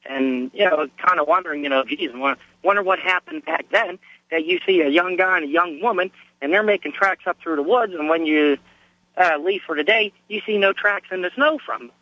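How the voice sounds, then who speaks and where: A man from Pennsylvania phones in to a radio show, a bit hoarse from a cold. His voice is fairly high and bright, and the line sounds dull and muffled. He tells his story plainly, with plenty of fillers. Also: brisk, no room sound. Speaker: caller.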